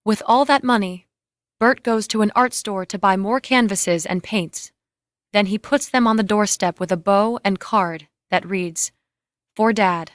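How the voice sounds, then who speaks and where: A woman's voice: mid-range voice, no echo, studio quality. A woman reading scripted, screenplay-style narration and stage directions.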